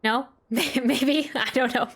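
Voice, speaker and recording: A woman chatting casually as she streams and reacts to a video game, in an informal, rambling, halting way. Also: sits high, steady tone, fairly bright tone, breathy edge. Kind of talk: casual live reaction chat.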